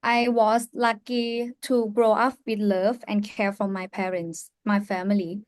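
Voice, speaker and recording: A young woman with a Thai accent speaks slowly and earnestly, pausing often and leaning on fillers as she tells her story. Her voice sits at a middling pitch. The sound is close and dry, with no room echo. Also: little pitch movement. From Thailand. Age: young adult. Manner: earnest, halting, with many fillers. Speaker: youth ambassador. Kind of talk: personal story on a live panel.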